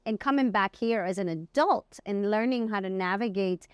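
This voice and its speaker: A woman's voice: mid-range voice, moderate pace, smooth, dry recording, studio quality. A woman from Colombia hosting an interview, affirming her guest often and asking long, thoughtful questions. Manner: warm affirming, frequent backchannel agreement. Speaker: podcast host. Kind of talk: conversational interview.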